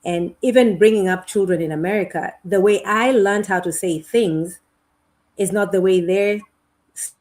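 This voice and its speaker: A middle-aged woman from Kenya with a Kenyan accent, speaking as a guest on a video-call interview in a thoughtful, unhurried way. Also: mid-range voice, a little mellow. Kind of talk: conversational interview.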